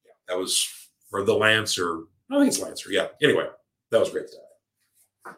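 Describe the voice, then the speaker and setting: Male host, bright tense tone, slightly hoarse and worn, rambling casual chatter. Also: fairly low pitch, wildly swooping pitch, moderate pace. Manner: rambling, with many fillers and restarts. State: voice tiring, a little hoarse. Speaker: podcast host. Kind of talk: casual solo chat reading listener comments. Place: home room with a cat nearby.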